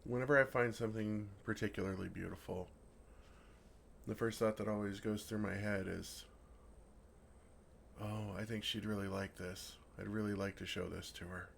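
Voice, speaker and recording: A man playing a gentle, affectionate, innocently oblivious dragon in an intimate, earnest ASMR roleplay. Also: on the low side, steady tone, normal speed, vocal fry, a little hiss or hum. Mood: wistful, tender. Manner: earnest, softly teasing, innocently puzzled.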